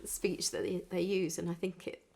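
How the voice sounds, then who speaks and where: A woman's voice: lowish voice, fairly even intonation, moderate pace, creaky, a little mellow, husky. A woman musician chatting informally and introducing songs, with an easy, laughing manner. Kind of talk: casual chat between songs.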